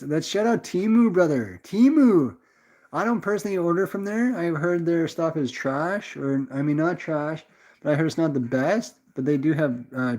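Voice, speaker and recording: A man's voice: on the high side, darkish tone. A young man with a Canadian accent chatting casually on a livestream, rambling and laid-back as he answers viewers and calls them brother. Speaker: livestream host reading chat. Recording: slightly dull lo-fi sound.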